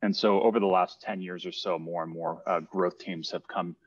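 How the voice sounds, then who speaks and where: A man from Los Angeles co-hosting a webinar, explaining in a relaxed, halting way with repeated words. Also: deep voice, no room sound. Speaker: webinar co-host. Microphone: video call. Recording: dull, dry online call audio.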